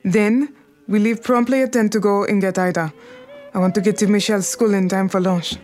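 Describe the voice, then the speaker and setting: A woman's voice: medium pitch, steady tone, fairly quick, darkish tone, faint music behind, close to an everyday voice. An actress playing an imperious, formal Harlem numbers queen in an audio drama, poised and commanding, dropping French phrases. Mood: commanding, composed.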